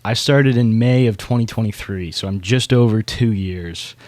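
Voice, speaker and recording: A man's voice: fairly low pitch, moderate pace, darkish tone, dry recording. A man hosting a podcast in a casual, chatty style. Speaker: podcast host.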